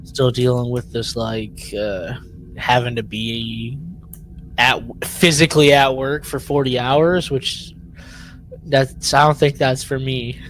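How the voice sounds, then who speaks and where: A man co-hosting a podcast in relaxed, informal chat, with a wry and joking way of talking. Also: medium pitch, slow, a little hiss or hum, poor audio. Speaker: podcast co-host. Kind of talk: casual chat between friends.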